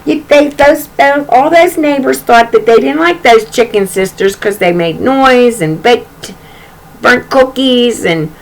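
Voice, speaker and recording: Gravelly-voiced woman reading a storybook. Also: on the high side, unhurried, poor audio. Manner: chatty, folksy, exclaiming and commenting on pictures. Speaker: storybook reader for children. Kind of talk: informal read-aloud storytelling with chatty asides.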